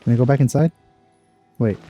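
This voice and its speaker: A man hosts a let's play, narrating casually over the game. His tone is very dark and mellow. He keeps up a stream of wry, sarcastic asides. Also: mid-range voice, fairly quick, soft background music. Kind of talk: casual gameplay commentary.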